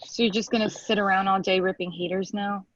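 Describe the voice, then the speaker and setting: A woman's voice: medium pitch, fairly even intonation, fairly quick, slight background noise, natural voice. Woman acting hurt, blunt ex-partner, dull recording. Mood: firm, weary. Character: hurt, blunt ex-partner, a hard-working lawyer. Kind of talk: screenplay table read, naturalistic acting.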